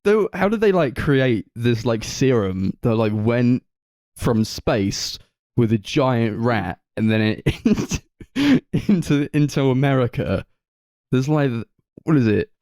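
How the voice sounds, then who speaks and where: A man chats casually as a film podcast co-host in a dry-sounding recording. His delivery is animated and rambling, acting out lines as he retells things and dropping in swear words. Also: mid-range voice, slow, no room sound. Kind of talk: casual film review chat.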